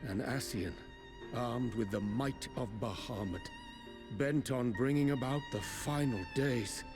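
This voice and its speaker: An actor playing a courteous, scholarly adviser in a fantasy game, speaking in formal, measured, archaic phrases. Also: male voice, mid-range voice, natural voice. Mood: ominous. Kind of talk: fantasy video game voice acting. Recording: orchestral music behind the voice. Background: orchestral game music with strings.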